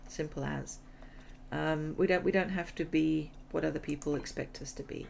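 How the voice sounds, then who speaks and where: A woman's voice: low-pitched, steady tone, moderate pace, vocal fry, a little mellow, a little hiss or hum. A middle-aged woman hosting a gaming channel, chatting in a rambling way and laughing often while she loses her voice. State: losing her voice. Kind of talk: casual chat over gameplay.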